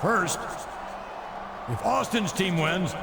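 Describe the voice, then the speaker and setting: A man acting a domineering wrestling company chairman, announcing forcefully with drawn-out emphasis and showman authority. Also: high-pitched, very animated, gravelly, full character voice. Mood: commanding. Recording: loud arena crowd noise behind.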